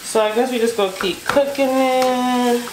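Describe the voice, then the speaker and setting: An American woman talks in a fairly high voice, casual and unscripted, like a home cook thinking out loud for her viewers. She is recorded in a kitchen with clear echo. Sizzling and stove noise sit plainly behind her voice. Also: fairly even intonation, moderate pace. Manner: informal, unscripted, thinking aloud. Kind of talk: casual chat while cooking. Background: sizzling pan, clicks, stirring.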